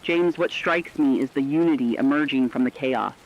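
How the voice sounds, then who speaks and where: A man playing an earnest radio news co-anchor, giving grave, measured scripted reports on a disaster. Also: medium pitch, natural voice. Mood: earnest hope. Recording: dull lo-fi sound with faint noise.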